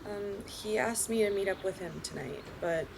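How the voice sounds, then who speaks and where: A woman's voice: mid-range voice, normal speed, vocal fry, noisy background, natural voice. An actress playing a private, hesitant young woman who dodges questions about her family. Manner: hesitant, evasive. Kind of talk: audio drama acting.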